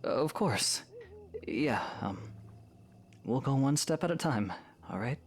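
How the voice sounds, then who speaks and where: A man plays a young adventuring hero in an audio drama. He has a mid-range voice with lively intonation and a casual, gentle way of talking. He comes across as caring and a little awkward. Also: mid-range voice, takes it slowly. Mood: awkward, reassuring. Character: young male hero, considerate, gently encouraging, slightly awkward. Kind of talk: fan audio drama acting.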